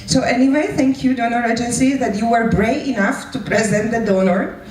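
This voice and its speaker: A woman's voice: fairly high pitch, a little fast. A non-native English-speaking woman moderating a live event and introducing speakers. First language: not English. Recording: echoey room, distant mic, background noise.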